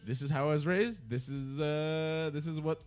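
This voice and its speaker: A man's voice: a little high, moderate pace, a little mellow, soft background music. A man co-hosting a podcast in casual chat, swearing freely and mimicking the people he argues with. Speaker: podcast co-host.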